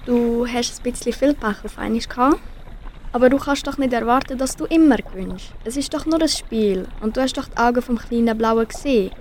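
A woman's voice: a little high, a little fast, darkish tone, a little hiss or hum, natural voice. A teenage girl with a Swiss German accent voicing a sensible, comforting fish in an amateur school radio play. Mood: reproachful. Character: sensible, comforting red-finned fish friend.

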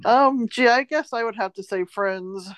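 A woman's voice: a little high, unhurried, dry recording. An American woman, middle-aged or older, co-hosting a podcast in casual, easygoing conversation. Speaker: podcast co-host. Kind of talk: casual chat.

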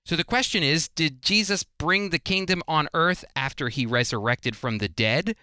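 A man's voice: on the high side, moderate pace, pushed and bright, smooth, dry recording, studio quality. A man teaching the Bible as a radio host in a conversational, earnest and explanatory way.

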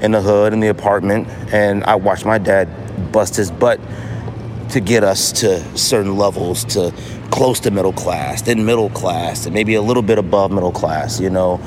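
A man's voice: lowish voice, moderate pace, noisy background. A middle-aged American man talking casually and earnestly as a solo podcast host, repeating phrases for emphasis. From Inglewood, California, United States.

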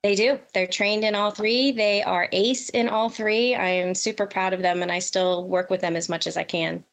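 A woman's voice: medium pitch, steady tone, normal speed. A middle-aged woman answering questions as a guest in a conversational interview. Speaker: podcast guest, veteran emergency dispatch professional.

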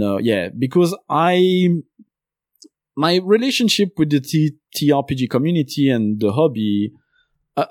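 Mellow-voiced Belgian French-accented man. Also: mid-range voice, dry recording, studio quality. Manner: talks at length, many uh fillers. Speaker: podcast host and game designer guest. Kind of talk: casual interview chat.

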